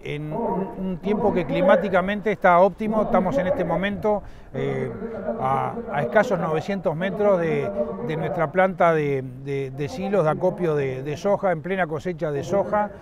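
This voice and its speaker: A middle-aged man with a Uruguayan accent, the president of a rural association, giving an outdoor interview with frequent fillers and restarts. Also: high-pitched. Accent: Uruguayan Spanish. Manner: halting, many fillers and restarts. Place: outdoors at a livestock fairground.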